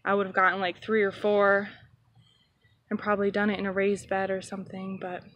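A woman filming a relaxed, casual tour of her backyard garden on a phone, chatting with plenty of ums. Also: mid-range voice, fairly quick. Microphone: phone held while walking.

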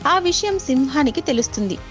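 A woman's voice: high voice, expressive, a little fast. A woman storyteller reading scripted moral animal tales for children. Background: faint music.

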